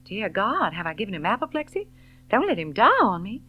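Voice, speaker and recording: A woman voicing a headstrong, impatient young Southern woman in an audiobook novel, brisk and emphatic. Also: mid-range voice, big pitch swings, dull audio, voice pushed up. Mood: alarmed.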